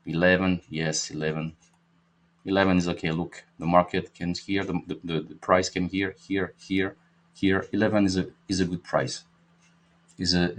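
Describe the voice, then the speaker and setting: A fairly low-pitched man with a French accent talks through trading charts as an instructor. His delivery is informal and halting. He often repeats himself and checks in with short questions. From France. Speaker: trading instructor and market analyst. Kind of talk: informal spoken chart analysis lesson.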